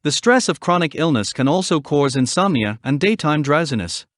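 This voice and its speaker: A man reading a scripted passage aloud with a measured, formal delivery. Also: fairly high pitch, expressive, no room sound, clean studio sound. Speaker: read-aloud model reader.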